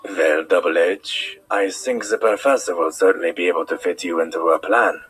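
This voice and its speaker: Very deep griffin henchman, slyly menacing, played by a man. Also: fairly even intonation, a bit bright, breathy edge, heavily acted. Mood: persuasive. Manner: measured, polite, subtly threatening. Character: smooth, sinister male griffin henchman, calmly persuasive. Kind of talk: audio drama villain acting.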